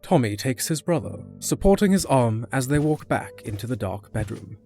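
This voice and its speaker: A man's voice: medium pitch, big pitch swings, normal speed, mellow. Male fantasy narrator over music. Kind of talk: scripted fantasy story narration, present tense. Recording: music under the voice.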